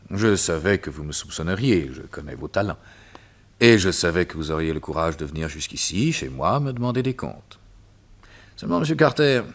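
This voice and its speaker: A smooth, menacing doctor bent on revenge, speaking with a very deep, low voice. He taunts his captive in a calm, cruel way, as in an old radio play. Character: cold vengeful doctor villain, mocking. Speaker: radio drama actor. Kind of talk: 1960s French radio drama acting.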